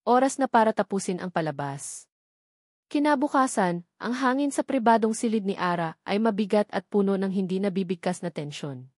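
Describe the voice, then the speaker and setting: A woman's voice: medium pitch, lively intonation, moderate pace, a little mellow, no echo. Filipino woman narrating, dry close sound. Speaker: story narrator. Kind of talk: dramatic scripted story narration.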